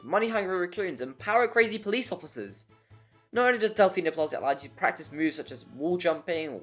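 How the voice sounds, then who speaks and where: Male video essay narrator, wry and joking, prone to exaggeration, with music underneath. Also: fairly high pitch. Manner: wry, jokey, given to exaggeration. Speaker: narrator of a video game review. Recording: voice-over with music behind.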